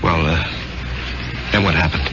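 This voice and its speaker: An actor playing a quiet, anxious, self-doubting husband who speaks haltingly in a 1970s radio drama. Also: male voice, a bass voice, background noise. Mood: uneasy, resigned. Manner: hesitant, halting. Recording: muffled old radio broadcast with music.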